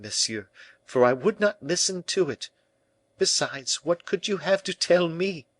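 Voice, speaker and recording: A man's voice: fairly high pitch, fairly bright tone, pitched above the performer's own voice. A man voicing an earnest, devoted young noblewoman of a royal court, pleading in formal speech for an audiobook.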